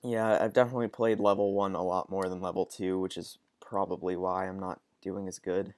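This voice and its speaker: A man's voice: sits low, level tone, fairly quick, a little mellow, no room sound. A young man with a cold, sounding sniffly, chatting hesitantly with lots of ums while playing a game. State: stuffy with a cold. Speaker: gaming channel host. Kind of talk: casual let's-play commentary.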